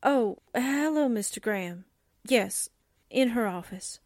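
Woman playing young female secretary, plucky and suspicious, animated, dry close sound, 1940s radio drama, emphatic. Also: a little high, slow pace, dry recording. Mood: anxious secrecy.

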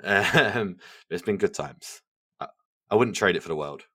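A man's voice: sits low, expressive, unhurried, a bit bright. A man co-hosting a podcast, rambling casually with lots of fillers and poking fun at himself. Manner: rambling, self-mocking, full of fillers. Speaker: podcast co-host. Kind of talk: casual chat.

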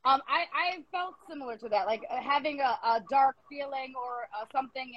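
A woman's voice: high-pitched, a little fast, lo-fi sound, slight background noise. A woman hosting a casual arts interview, talking in a rambling, reflective and self-deprecating way. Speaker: host of an arts interview show.

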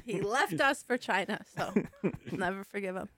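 A woman's voice: mid-range voice, lively intonation, moderate pace, crowd noise. A woman co-hosting a casual podcast chat, making wry jokes with laughing asides. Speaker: podcast co-host.

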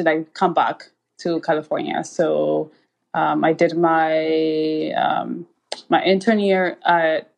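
Soft-spoken woman from Ethiopia, halting. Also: fairly low pitch. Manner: halting, many fillers and restarts. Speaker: guest, anesthesiologist. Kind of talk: casual interview.

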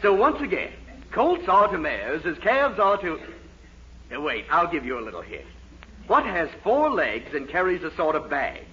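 An actor playing a sarcastic, exasperated college professor in a fussy, theatrical 1940s radio comedy style. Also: male voice, fairly high pitch, wildly swooping pitch, normal speed. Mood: strained patience. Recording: dull, noisy 1940s radio recording, audience.

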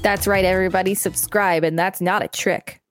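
A woman's voice: lowish voice, fairly even intonation, moderate pace, no room sound. Woman podcast host reading over music. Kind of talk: read-aloud encyclopedia text with casual commentary.

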